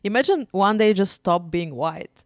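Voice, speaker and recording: A young woman from Bulgaria with a Bulgarian accent, a stand-up comedian chatting casually, laughing often and telling self-mocking stories at a quick clip. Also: mid-range voice, expressive, no echo, studio quality. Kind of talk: casual chat.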